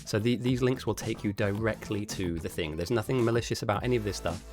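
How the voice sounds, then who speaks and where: A man with a hoarse voice, casting and chatting casually on a live game stream, rambling a little and answering chat. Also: on the low side, fast, a little mellow, soft background music. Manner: chatty and rambling, with frequent restarts. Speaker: esports caster and streamer.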